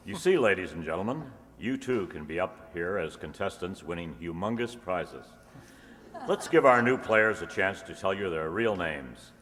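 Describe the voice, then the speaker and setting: A man playing a polished old-time radio announcer and pitchman, in a reverberant hall with an audience. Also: very animated, normal speed, a bit bright. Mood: announcing. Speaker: show announcer. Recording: echoey hall with audience noise.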